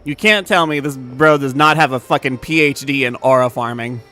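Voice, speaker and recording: A young man talks loosely to his stream viewers in a bright, forceful voice, swearing casually and trailing off into fillers and restarts. Faint music plays underneath him. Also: medium pitch, moderate pace. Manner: laid-back and profane, with frequent fillers and laughs. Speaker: game streamer.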